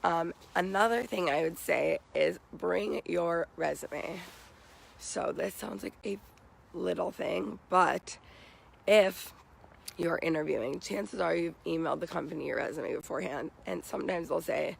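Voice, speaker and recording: A young American man with a raspy, morning-hoarse voice, giving casual advice to viewers as a vlogger. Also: on the high side, measured pace, poor audio. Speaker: vlogger giving job interview tips. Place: outdoors.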